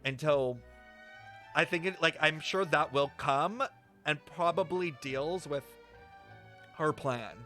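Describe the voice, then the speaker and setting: A man's voice: on the high side, slow pace, long pauses, sharp tone, faint music behind. A man chatting casually on a game stream in a slangy, self-deprecating, talkative way. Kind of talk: casual live stream chat reacting to a game.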